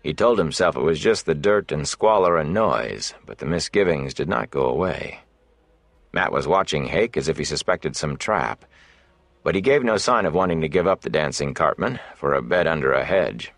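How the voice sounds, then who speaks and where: A man narrating a fantasy audiobook, telling the story in a measured, deliberate, dramatic way. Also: rumbling low, expressive, dry recording.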